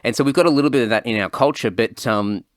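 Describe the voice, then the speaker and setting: A man's voice: medium pitch, quick pace, no room sound, clean studio sound. A man with an Australian accent hosting a podcast, chatting casually and rambling with frequent restarts. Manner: relaxed, rambling, many restarts and fillers. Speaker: podcast host. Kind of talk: casual interview chat.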